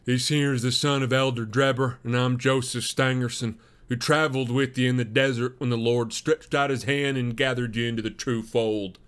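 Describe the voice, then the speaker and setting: A man's voice: mid-range voice, little pitch movement, normal speed, hardly any pauses, a bit bright, smooth, close to an everyday voice. A man voicing a self-important young religious zealot in a storybook, boastful and vindictive, speaking in a formal, sanctimonious way. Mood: formal, pious.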